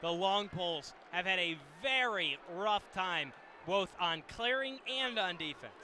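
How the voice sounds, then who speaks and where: An American man analyzes a live game on radio in a high, very sharp and forceful voice. He is conversational and frankly critical. Faint venue ambience runs behind him. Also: lively intonation, measured pace, a faint crowd, a little hiss or hum.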